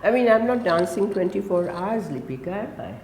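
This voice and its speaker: A woman in her seventies, a guest from India who speaks Gujarati as her first language. She talks in English with an Indian accent and gives emphatic answers in quick lists. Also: medium pitch, lively intonation, background noise. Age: 70s. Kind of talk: conversational interview. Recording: roomy echo.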